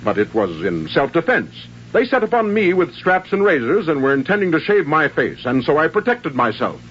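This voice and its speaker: A stubborn man standing trial in an old 1950s radio play. His voice sits fairly high and swings widely as he argues with earnest defiance. The sound is dull and dated. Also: sing-song, moderate pace, fairly bright tone, slight background noise. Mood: unrepentant. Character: stubborn, bearded, plain-spoken 19th-century New England man on trial. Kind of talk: 1950s radio drama acting. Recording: muffled 1950s radio broadcast.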